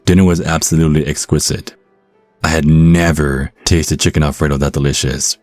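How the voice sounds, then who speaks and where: A man's voice: rumbling low, little pitch movement, moderate pace, a little mellow, dry recording, faint music behind. An actor with an American accent playing an awkward young man on a date in an audio roleplay, apologetic and prone to stammering. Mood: reflective narrating. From United States. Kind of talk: audio roleplay acting and first-person narration.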